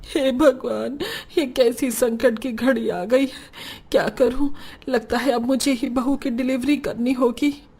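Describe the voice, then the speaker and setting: A woman plays a devoted, anxious family woman in a cartoon melodrama. Her voice is high, and her delivery is emotional and earnest. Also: steady tone, measured pace, dark tone, slightly rough, full character voice. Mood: worried. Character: anxious, devoted women of a poor household, mother-in-law and wife.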